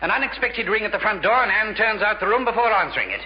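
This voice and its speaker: Dry, high-voiced British husband. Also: male voice, expressive, quick pace. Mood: sardonic. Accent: British English. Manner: dry, sardonic. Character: dry, teasing English husband and father. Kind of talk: 1950s radio sitcom acting. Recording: dull, noisy old radio broadcast.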